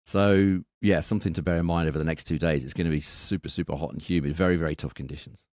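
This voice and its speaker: A man's voice: very deep voice, steady tone, quick pace, darkish tone, no echo, clean studio sound. A man co-hosting a betting podcast, thinking aloud and often repeating words as he goes. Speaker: podcast co-host. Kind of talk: casual betting analysis.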